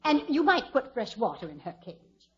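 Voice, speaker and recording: A woman's voice: fairly high pitch, big pitch swings, normal speed. A woman playing an overbearing, haughty older mother-in-law in a 1950s radio comedy. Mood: imperious. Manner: imperious, haughty, theatrical. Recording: dull vintage radio broadcast.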